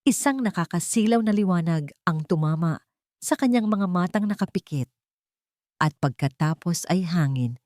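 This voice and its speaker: Female storyteller, lower register, deliberate dramatic delivery, close dry recording. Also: a little mellow, no echo. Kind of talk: scripted dramatic story narration.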